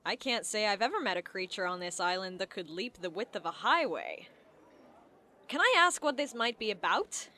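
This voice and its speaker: A woman's voice: medium pitch, expressive, moderate pace, bright, forceful tone. A woman playing a skeptical, plain-spoken park ranger in an audio drama, with a dry, folksy manner. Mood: dry, guarded.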